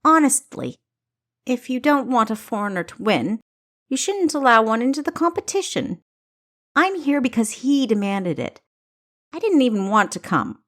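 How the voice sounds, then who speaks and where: Kessa, a woman playing an indignant young wrestler, animated. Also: on the high side, normal speed, voice pushed up. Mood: indignant, pleading. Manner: fuming, emphatic, exasperated.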